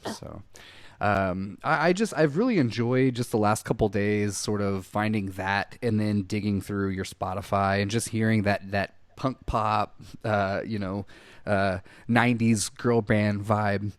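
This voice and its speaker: A man's voice: on the low side, a little slow, smooth, no room sound. A man hosting an interview over a call, talking casually and rambling with many fillers. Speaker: podcast host. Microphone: headphones on a call.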